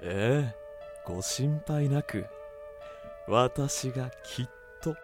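Casting: woman playing a polite, scheming villainess with a very low voice, slow and swooping delivery, music behind. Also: animated, exaggerated voice. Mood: scheming politeness. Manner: softly polite, cold and mocking. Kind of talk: amateur voice drama. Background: background music.

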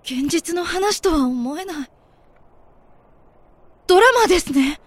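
Very high-pitched, earnest girl voiced by a woman. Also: animated, slow, heavily acted. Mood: puzzled, questioning. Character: earnest, inquisitive teenage girl. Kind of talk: audio drama acting.

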